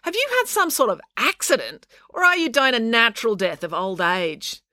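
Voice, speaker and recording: A woman's voice: fairly high pitch, sing-song, moderate pace, pushed and bright, no room sound, clean studio sound, pitched above the performer's own voice. A woman voicing a blunt, bossy young girl in an audiobook, indignant and demanding, with emphatic delivery.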